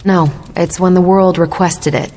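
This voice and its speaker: A woman's voice: fairly low pitch, steady tone, measured pace, dark tone, a little hiss or hum, natural voice. An actress playing a confident military superhero woman in a video game, explaining things in an assured, lightly teasing way. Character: confident adult superhero woman, sharp patient military mentor.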